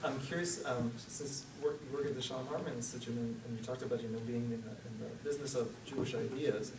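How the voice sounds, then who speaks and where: Male questioner, quick talker who acts out hypothetical quotes, heard far from the mic in a reverberant room. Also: mid-range voice. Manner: voices imagined quotes to make his point. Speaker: audience questioner. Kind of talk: asking a spoken question at a seminar. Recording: echoey room, distant mic, noisy.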